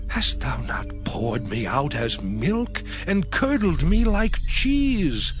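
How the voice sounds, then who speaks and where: An actor playing an afflicted, righteous man in a dramatized scripture reading, lamenting and pleading with God in solemn, archaic phrasing. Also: fairly high pitch. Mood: anguished pleading. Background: music.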